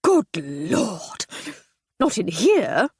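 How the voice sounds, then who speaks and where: A man's voice: very high-pitched, big pitch swings, a little slow, husky, no echo, clean studio sound, voice pushed up, heavily acted. A woman voicing a breezy, pompous upper-class army captain in an audiobook. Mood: blustering. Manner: pompous, blustering.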